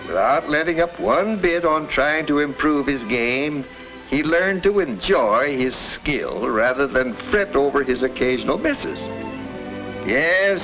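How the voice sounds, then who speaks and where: An actor playing a kindly, folksy family doctor who explains and reassures in a 1950s educational film. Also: male voice, mid-range voice. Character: kindly small-town family doctor recounting cases, reassuring. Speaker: narrator and doctor. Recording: dull old film soundtrack with music.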